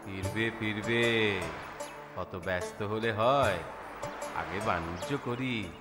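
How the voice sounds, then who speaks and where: An actor playing a rich, domineering merchant master in a children's cartoon, with a commanding, condescending manner and drawn-out words. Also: male voice, lowish voice. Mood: indulgent, amused. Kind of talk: children's cartoon story dialogue. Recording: music bed under the voice.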